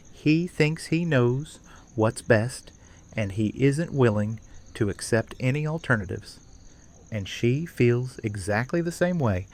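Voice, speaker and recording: An actor playing a weary, troubled but reasonable man in an audio drama, speaking in a measured, serious way. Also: medium pitch.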